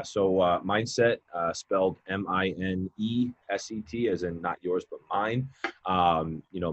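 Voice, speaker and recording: A man's voice: sits low, slightly rough, muffled recording. A man in his late twenties, a mindset coach and guest, talking casually in a rambling way with many fillers and repeated words. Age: 20s. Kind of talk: casual podcast conversation.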